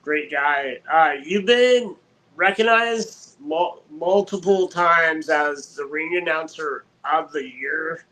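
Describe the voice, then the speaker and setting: A man's voice: on the high side, takes it slowly, pushed and bright, low-quality recording. A young man hosting a podcast, asking questions in a friendly way with lots of fillers. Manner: friendly, hesitant with many fillers. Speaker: podcast host. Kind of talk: casual podcast interview.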